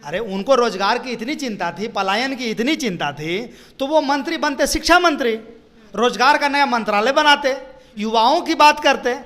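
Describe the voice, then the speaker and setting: A man's voice: extremely high pitch, animated, normal speed, a bit bright. A man with a Bihari accent giving political commentary as a party spokesperson, emphatic and repetitive, often posing rhetorical questions.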